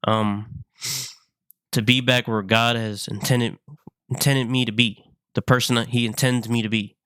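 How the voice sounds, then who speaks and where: Earnest young man with a smooth voice. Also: medium pitch, little pitch movement, a bit bright, dry recording, clean studio sound. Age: 20s. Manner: halting, repeats himself, earnest. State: sick. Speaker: vlogger. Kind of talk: personal confessional vlog.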